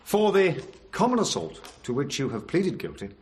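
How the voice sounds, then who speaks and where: Pompous middle-aged english judge, earnest, pitch jumps around a lot, radio play. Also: male voice, huge pitch swings, moderate pace, a little mellow. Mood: pompous, solemn. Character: pompous, easily fixated middle-aged male English High Court judge. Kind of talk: radio drama acting.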